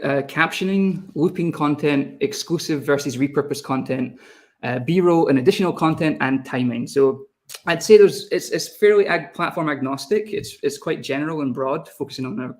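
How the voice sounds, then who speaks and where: A young adult man with a Scottish accent, a video team lead talking quickly as he presents a live online webinar and speaks to viewers in the chat. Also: a little high.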